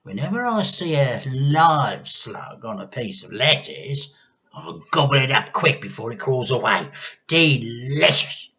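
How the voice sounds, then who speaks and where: A man voicing a nasty, gleefully menacing old grandmother in a storybook, with drawn-out, gloating delivery. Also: medium pitch, lively intonation, creaky, bright, forceful tone, lo-fi sound, voice pushed up, full character voice. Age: older. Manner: drawn-out, gloating, sometimes throaty whispering.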